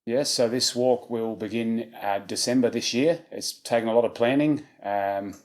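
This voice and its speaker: A man's voice: mid-range voice, moderate pace. A man in his thirties with an Australian accent, answering interview questions casually with many fillers. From South Australia. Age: 30s. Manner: easygoing, many ums and restarts. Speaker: charity walker.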